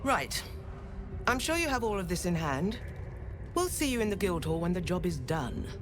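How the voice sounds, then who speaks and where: A woman's voice: fairly low pitch, very animated, normal speed, noisy background. An actress playing a cocky, mocking criminal mercenary woman in a video game, with a sardonic, teasing delivery. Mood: dryly compliant.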